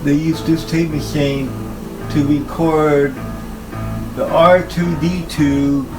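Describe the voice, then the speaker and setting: A man giving an informal, halting talk about equipment as a hobbyist presenter, speaking in short phrases. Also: fairly high pitch, little pitch movement, music in the background, poor audio. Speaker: hobbyist presenter showing his tape machines.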